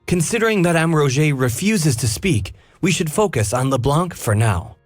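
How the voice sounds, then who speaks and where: An actor playing a calm, inquisitive detective in a game, reasoning aloud in a measured way. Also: male voice, on the high side, no echo, soft background music. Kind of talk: game cutscene voice acting.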